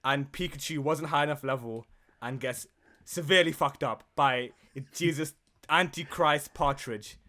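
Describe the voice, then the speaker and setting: A man's voice: on the high side, moderate pace, bright, forceful tone. A man co-hosting a gaming podcast, casual, jokey and sweary, improvising and bantering.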